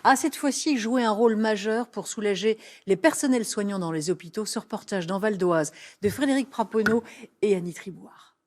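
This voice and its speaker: A woman's voice: mid-range voice, normal speed, darkish tone. Lady anchor reading a news intro, mid voice.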